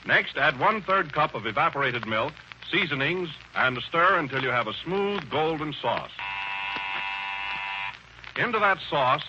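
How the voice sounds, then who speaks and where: Bright, animated vintage American radio pitchman. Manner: emphatic, polished salesmanship. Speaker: radio announcer. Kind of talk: 1930s radio advertisement, scripted pitch. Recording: lo-fi old radio recording, faint hiss.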